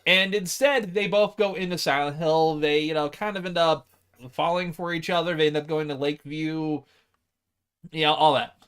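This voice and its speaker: A man's voice: on the high side, steady tone, normal speed, fairly bright tone, clear, resonant tone. A man in a casual group film discussion, explaining things in a rambling way full of asides. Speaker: podcast co-host reviewing films.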